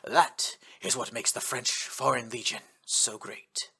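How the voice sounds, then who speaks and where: A man voicing a very old French Foreign Legion officer who speaks slowly and earnestly, full of confidence in his comrades. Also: mid-range voice, bright, forceful tone, husky, full character voice. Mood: proud, assured.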